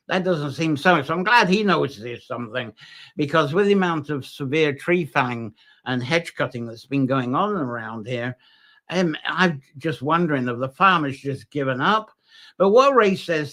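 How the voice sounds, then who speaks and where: A man talks casually to the camera about nature. His voice is bright and sits a little high. He rambles, circling back with fillers and restarts. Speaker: host.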